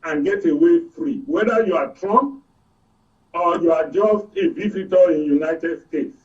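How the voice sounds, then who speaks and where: A man's voice: sits high, lively intonation, unhurried. A man with a Nigerian accent giving his opinions as a guest in a political discussion, explaining emphatically and repeating points for effect. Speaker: guest commentator. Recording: dull, distant studio audio.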